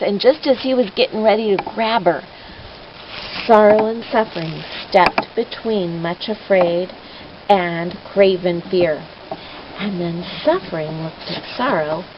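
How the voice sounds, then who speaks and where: A woman telling a dramatic story for children in a theatrical, expressive way. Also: mid-range voice, measured pace. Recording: dull noisy lo-fi home recording.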